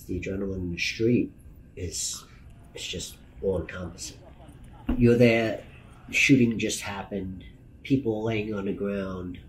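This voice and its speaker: A man in his fifties from Brooklyn speaks in a low voice with a New York accent. He gives a personal interview account in an emphatic, plain-spoken way, repeating phrases to press a point. There is faint noise behind him. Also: low-quality recording. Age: 50s. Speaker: news photographer and editor. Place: meeting room indoors.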